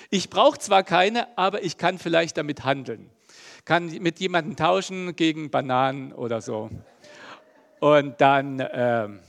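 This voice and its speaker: A middle-aged man from East Germany preaching a relaxed, conversational sermon, chatty and loose with plenty of fillers. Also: fairly high pitch, creaky.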